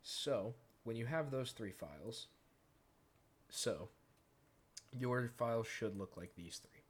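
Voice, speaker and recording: A man hosting a software tutorial, explaining each step informally in a casual, conversational way. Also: mid-range voice, slow, breathy edge. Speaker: tutorial host. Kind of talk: casual screen-recorded software tutorial narration.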